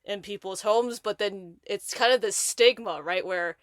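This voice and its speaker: A woman's voice: medium pitch, a little slow, no room sound. Chatty woman podcast host, dry sound. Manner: animated, rambling, many restarts and fillers. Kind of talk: casual conversation.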